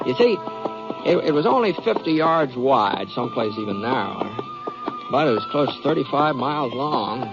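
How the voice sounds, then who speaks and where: A man's voice: mid-range voice, natural voice. An actor playing an easygoing, folksy cowboy hero who tells his tale with a hesitant drawl in a 1950s radio western. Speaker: lead actor and narrator. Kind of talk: 1950s radio western acting, first-person storytelling. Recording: dull 1950s radio recording, music behind.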